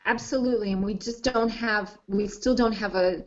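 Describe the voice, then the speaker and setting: A woman's voice: mid-range voice, steady tone, normal speed. A woman discussing languages as an expert guest, enthusiastic and thinking aloud with frequent restarts. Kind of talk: casual discussion. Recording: dull lo-fi live stream audio.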